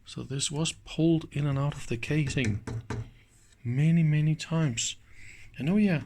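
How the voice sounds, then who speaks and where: Slow-paced man thinking aloud. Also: on the high side, darkish tone. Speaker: host of an electronics teardown video. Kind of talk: casual hands-on commentary. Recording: clicks and faint background noise.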